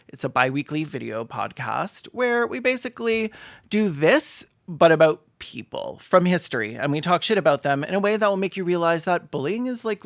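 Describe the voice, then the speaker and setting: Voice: mid-range voice, no echo. Sarcastic, theatrical comedy host, dry close sound. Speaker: host of a comedic reaction show.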